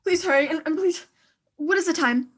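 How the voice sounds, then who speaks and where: A woman's voice: extremely high pitch, moderate pace. A woman playing a panicking, demanding, self-pitying invalid wife in an old-time radio thriller, fretful and stammering. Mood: impatient, flustered.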